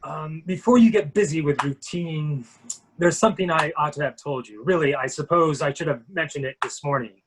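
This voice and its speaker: A man's voice: fairly high pitch, normal speed, noisy background, close to an everyday voice. A man playing a polite, apologetic army major in a murder mystery reading, courteous and slightly hesitant. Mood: sheepish, evasive.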